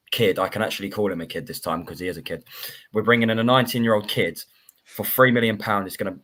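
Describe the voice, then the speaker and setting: Low-voiced emphatic podcast co-host man. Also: a bit bright. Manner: emphatic, repeats points. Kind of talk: casual football chat.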